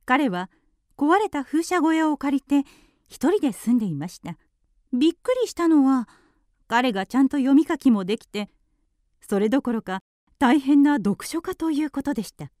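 A high, lively female voice as an earnest little canary in a radio play. She tells her story politely and wistfully, with wide swings of pitch. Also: normal speed, no echo, studio quality. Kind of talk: audio drama storytelling.

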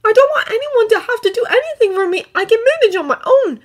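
A woman's voice: animated, fast talker. A woman voicing a distraught, stubborn boy in an audio story, emotional and snappish. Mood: pleading, tired. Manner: high, emotional, snappish outbursts. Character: distraught, stubborn, self-blaming human boy.

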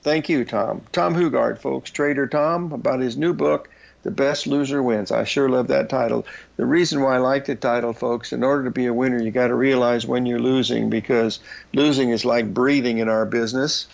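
A man's voice: mid-range voice, steady tone, normal speed, gravelly, highs cut off. An older man hosting a market show over a headset, talking in a folksy, chatty way and calling listeners folks. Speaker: show host. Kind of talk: casual market chart talk.

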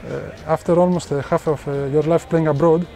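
Mellow-voiced hesitant male interviewer. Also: fairly high pitch, fairly even intonation, gravelly. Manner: hesitant, many filler pauses. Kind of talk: sports interview questions.

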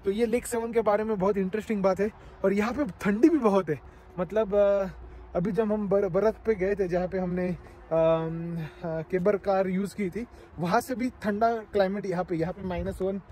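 A man's voice: high-pitched, fairly even intonation, moderate pace, mellow, a little hiss or hum. A man in his thirties chatting casually to a phone camera held at arm's length, explaining the sights around him with plenty of fillers. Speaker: travel vlogger. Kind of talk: casual travel vlog narration. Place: outdoors by a lake, then an underground cave museum.

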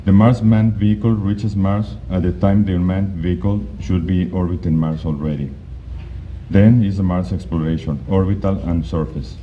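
Low-voiced man presenting slides. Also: fairly even intonation. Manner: halting, with frequent restarts and fillers. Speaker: conference presenter. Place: conference hall. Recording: dull, lo-fi recording with faint noise.

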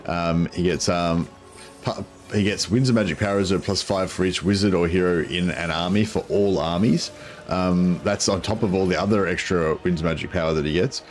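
A man, a croaky voice and a headset, chatting casually while streaming a strategy game, rambling with many ums. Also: low-pitched, fairly even intonation, moderate pace, soft background music. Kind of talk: casual gameplay commentary.

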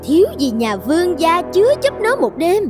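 A woman's voice: very high voice, big pitch swings, normal speed, over music. A woman voicing a spiteful, scheming rival courtesan in a fairy-tale cartoon, sly and callous.

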